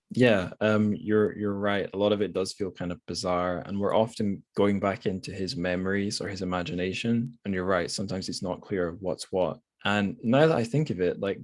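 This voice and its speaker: Low-voiced man hosting a podcast. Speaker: podcast host. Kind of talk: casual book discussion.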